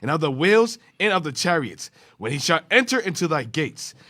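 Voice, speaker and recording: A man reads scripture aloud in a measured, formal manner. His voice is bright and sits a little high. His pitch moves a lot as he reads. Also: very animated. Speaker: scripture reader.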